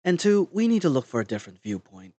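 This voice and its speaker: An earnest gentleman adventurer played by a man speaks in a fairly high, lively voice. His phrasing is formal, cautious and theatrical, as in a fantasy audio roleplay. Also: fast talker, no echo, highs cut off. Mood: puzzled, wary.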